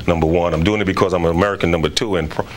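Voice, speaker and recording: Plain-spoken male coach from Trinidad, very deep voice, faint rumble behind. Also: steady tone, very fast. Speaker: basketball coach. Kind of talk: TV interview.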